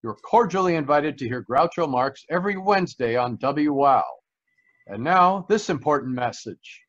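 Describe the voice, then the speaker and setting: Man, fairly high voice, radio announcer, polished sales delivery, 1940s style, dull audio. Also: lively intonation, normal speed, fairly bright tone.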